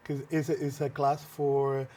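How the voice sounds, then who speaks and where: A man whose first language is Spanish, a filmmaker and workshop teacher, answering interview questions earnestly with frequent pauses and restarts in a TV studio. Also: mid-range voice, monotone, warm tone.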